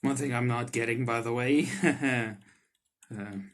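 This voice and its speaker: A man doing let's play commentary on a visual novel, reading the text aloud and reacting to it excitably. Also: mid-range voice, slow pace. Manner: excitable, reacts in sudden loud outbursts. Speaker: streamer playing a visual novel. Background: soft game music.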